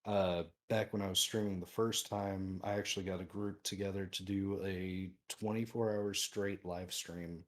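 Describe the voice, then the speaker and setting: A man guesting on a podcast with a deep radio-like voice, easygoing and self-deprecating in casual chat. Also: flat delivery, normal speed, hardly any pauses. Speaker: guest streamer.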